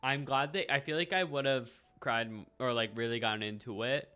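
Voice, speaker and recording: Mid-pitched man reacting casually to a game. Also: fairly even intonation, brisk, sharp tone, smooth. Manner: emotionally invested, exclamatory, thinking aloud. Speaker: gaming reaction streamer.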